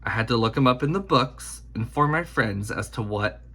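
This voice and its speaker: A male narrator reads as an earnest man from history looking back on his youth in a storybook. The voice is husky and slightly gravelly, and the delivery is deliberate and reflective. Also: medium pitch, animated, pushed and bright.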